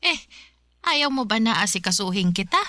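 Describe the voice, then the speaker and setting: A woman's voice: mid-range voice, sing-song, moderate pace, bright, forceful tone, no echo, clean studio sound. An actress with a Filipino accent playing a doting, fussing older mother in a radio drama. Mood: fussing, affectionate.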